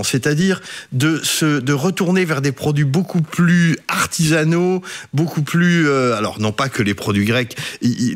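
A man with a Belgian French accent chatting casually and keenly as a food expert on the radio, often hesitating and repeating words. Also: medium pitch. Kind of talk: casual, enthusiastic radio chat.

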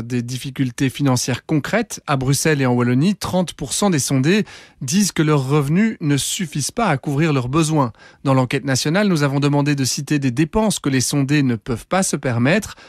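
A man giving a measured, factual radio news report. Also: medium pitch, dry recording, clean studio sound.

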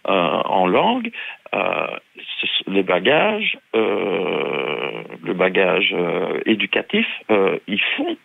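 A man's voice: low voice, very animated, slow pace, creaky, husky, no echo. A man in his forties calling into a radio show by phone, arguing heatedly and stumbling over repeated words. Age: 40s. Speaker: caller. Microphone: telephone. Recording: tinny phone line.

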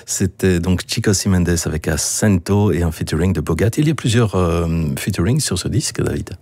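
A male radio host asks engaged questions in a low, husky voice. The recording is close and dry, with no room echo. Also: expressive, moderate pace, darkish tone. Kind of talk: radio interview.

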